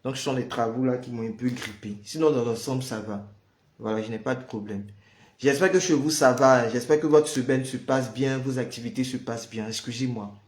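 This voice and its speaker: A man's voice: mid-range voice, a little fast, darkish tone, roomy sound. A man with the flu, leading a prayer livestream and chatting casually with viewers, greeting them by name. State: has the flu. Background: noise of building work.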